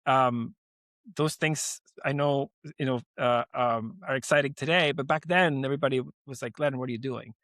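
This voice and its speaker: Man in his 40s from venezuela, talks fast and emphatic, trips over himself, dry close sound. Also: mid-range voice, no echo. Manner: animated, emphatic, many restarts. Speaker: guest, lending company founder. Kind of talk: podcast interview.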